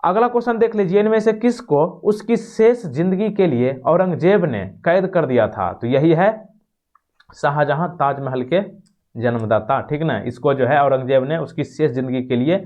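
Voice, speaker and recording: A man's voice: a little high, normal speed, darkish tone. A man teaching a quiz lesson, reading out questions, rephrasing them and giving answers in an explanatory way. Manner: explanatory, repeats and rephrases each question, checking tags. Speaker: exam-prep teacher. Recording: dull, lo-fi sound.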